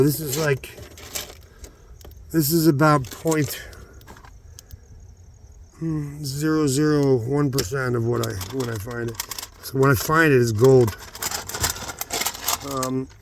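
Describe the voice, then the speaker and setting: Middle-aged man rambling casually over ambient noise. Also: on the high side. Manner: informal, halting, thinking aloud, repeats words for emphasis. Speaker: vlogger, hobby rockhound. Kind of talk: casual rambling vlog chat to viewers.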